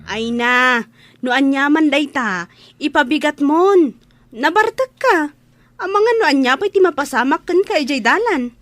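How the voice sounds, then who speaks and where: A woman's voice: high-pitched, lively intonation, a little slow, fairly bright tone, no room sound. A woman playing a worried, nosy younger sister in a radio drama, full of incredulous questions.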